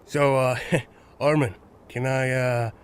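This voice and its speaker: A man's voice: medium pitch, little pitch movement, slow, a little hiss or hum, close to an everyday voice. A man playing a hesitant, awkward teenage boy in a fan-made audio drama. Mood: awkward, nervous.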